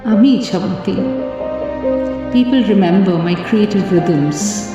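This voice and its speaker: A woman reciting a poem in slow, solemn, measured phrases. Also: medium pitch, dull audio. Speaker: poet. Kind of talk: poetry reading over music. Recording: heavy echo, long hall reverb, loud music bed.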